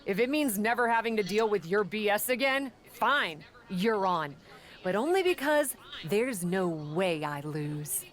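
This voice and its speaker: An actress playing an exasperated, confident wrestler in a video game, blunt and confrontational. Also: female voice, mid-range voice, expressive, unhurried, crowd noise, lightly acted. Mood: exasperated, defiant. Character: exasperated, confident female champion-contender wrestler. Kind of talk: video game cutscene acting.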